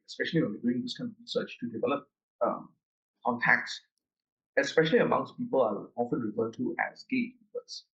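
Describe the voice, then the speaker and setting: A man explains his fieldwork in a loose, conversational way, often stumbling, restarting and repeating small words before he finds the thought. His voice sits at an ordinary pitch, and the recording is slightly dull and lo-fi. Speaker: researcher guest. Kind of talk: conversational interview.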